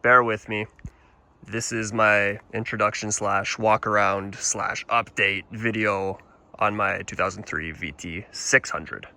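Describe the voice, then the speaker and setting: A man's voice: on the low side, steady tone, slow, gravelly, sharp tone. A young man from Canada with a Canadian accent, rambling and swearing casually as he walks around his motorcycle for a vlog, talking into a handheld phone. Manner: casual and profane, rambling with restarts. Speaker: motorcycle vlogger.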